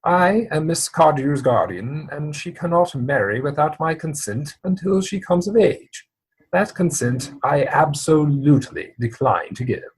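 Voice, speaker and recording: A man acts out an indignant English gentleman guardian in a comic stage reading. His voice sits a little high with a husky edge. He is formal, emphatic and stubborn. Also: lively intonation, a little slow, exaggerated voice, poor audio. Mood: firm.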